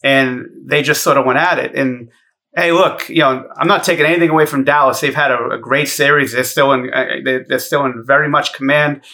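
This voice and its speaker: A man's voice: mid-range voice, fairly even intonation, brisk, sharp tone. A man in his thirties co-hosting a sports podcast, ranting bluntly with heavy swearing. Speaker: podcast co-host. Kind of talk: profane sports podcast banter.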